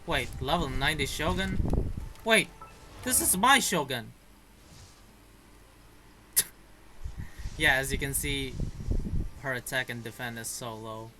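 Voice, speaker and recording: A man's voice: medium pitch, slow pace, long pauses, background noise. A young man giving casual, slangy, exclamatory reaction commentary while playing a video game. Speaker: gaming video host.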